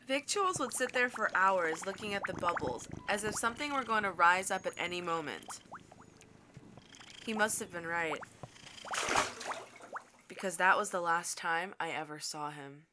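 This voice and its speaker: A woman's voice: lowish voice, normal speed, pauses often, background noise, close to an everyday voice. A woman playing a practical, wry young lighthouse keeper and inventor, narrating and speaking in an audio drama in a conversational way. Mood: wry, reflective.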